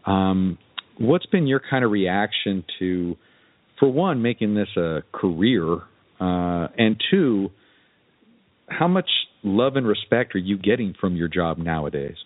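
A man's voice: low voice, big pitch swings, a little slow, no room sound, narrow, tinny audio. A man hosting a podcast in a casual interview style, asking thoughtful, rambling questions. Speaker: podcast host.

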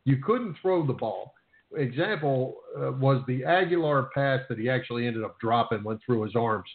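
A man's voice: mid-range voice, expressive, moderate pace. Man on roomy, phone-like sports radio. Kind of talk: casual sports discussion. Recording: roomy, thin phone-like sound.

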